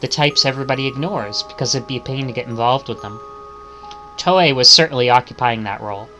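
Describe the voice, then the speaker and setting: A man's voice: mid-range voice, a little fast, over music. A man reading visual novel text aloud as a streamer, stumbling and correcting himself, with casual asides.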